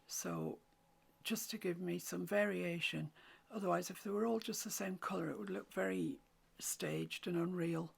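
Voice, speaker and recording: A woman with a croaky voice, teaching a watercolour painting tutorial in soft, often half-whispered instructions. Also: lowish voice, steady tone, moderate pace. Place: home room with a mantelpiece.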